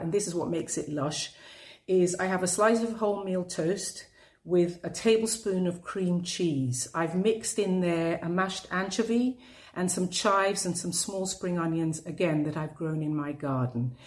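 A woman from southeast with a slightly low voice. She gives an informal personal account as a listener contributor. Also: measured pace. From southeast London, UK. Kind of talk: informal recorded personal message.

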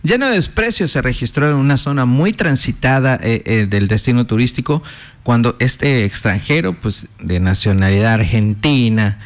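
A man with a Mexican accent co-hosting a casual gossip and news chat, playful and quick to laugh. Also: mid-range voice, lively intonation. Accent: Mexican Spanish. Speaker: co-host.

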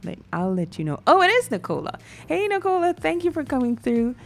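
A woman's voice: sits high, big pitch swings, a little fast, creaky, faint music behind. A woman with a Guyanese accent, co-hosting a live morning talk show, laughing easily and greeting viewers. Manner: bubbly, laughs often, reads viewer comments. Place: TV studio.

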